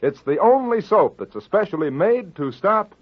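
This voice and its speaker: A man's voice: sits high, big pitch swings, moderate pace. A man reading a soap commercial on 1940s radio in a breezy, persuasive manner. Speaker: commercial announcer. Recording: tinny old radio broadcast.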